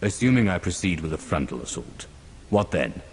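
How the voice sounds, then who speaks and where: Low-voiced, commanding Sith lord. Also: male voice, big pitch swings, normal speed, slight background noise. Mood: businesslike. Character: commanding male Sith lord of an evil empire, composed and solemn. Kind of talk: video game cutscene acting.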